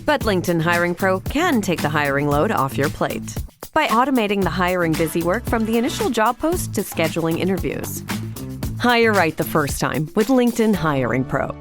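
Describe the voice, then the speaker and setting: A woman giving a scripted ad read in a polished, upbeat way. Her pitch moves a lot, and clear music plays underneath. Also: very animated, moderate pace. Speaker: ad announcer.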